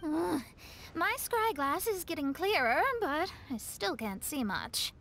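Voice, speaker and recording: A woman's voice: high voice, very animated, takes it slowly, fairly bright tone, exaggerated voice. A woman playing a thoughtful, polite young female astrologer, earnest and articulate, in a video game. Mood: uneasy.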